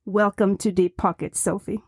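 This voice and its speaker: A woman's voice: medium pitch, little pitch movement, moderate pace, slightly rough, dry recording, studio quality. A woman from Finland, hosting an interview and encouraging her guest with friendly interjections. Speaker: podcast host.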